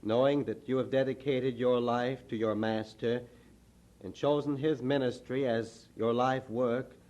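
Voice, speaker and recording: A man plays a modest gentleman of a church class in an old radio drama. He speaks very slowly at a middling pitch, with sincere, respectful formality. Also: fairly even intonation, muffled recording, natural voice. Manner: formal, measured. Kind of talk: mid-century radio drama acting.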